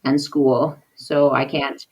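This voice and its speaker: A woman's voice: rumbling low, slow, rough recording. A woman who grew up in Papua New Guinea, a podcast guest speaking in a thoughtful, hesitant way with many restarts. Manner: lively when acting out conversations. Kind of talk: casual interview talk.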